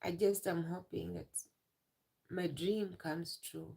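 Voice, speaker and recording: Tearful woman, slow and gravelly. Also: fairly low pitch, darkish tone. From Uganda. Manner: halting, repeats herself. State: tearful, emotional. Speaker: livestreamer. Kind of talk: emotional live stream talk.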